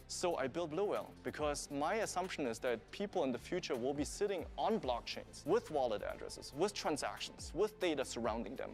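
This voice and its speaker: German-accented man pitching his startup. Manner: earnest, explanatory, steady delivery. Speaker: startup founder pitching investors. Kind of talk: investor pitch on a show.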